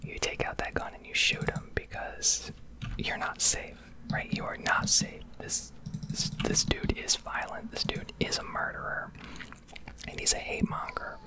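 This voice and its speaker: A young American woman speaks in a breathy whisper with no voice in it. She rambles bluntly and swears freely, in the style of an ASMR rant recorded over gameplay. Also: a little slow. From Connecticut, United States. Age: young adult. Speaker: ASMR streamer.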